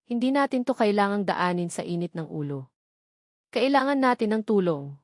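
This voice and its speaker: A woman's voice: mid-range voice, lively intonation, no echo, studio quality, close to an everyday voice. Woman voicing a tearful young mother. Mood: pleading. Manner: tearful, halting, pleading.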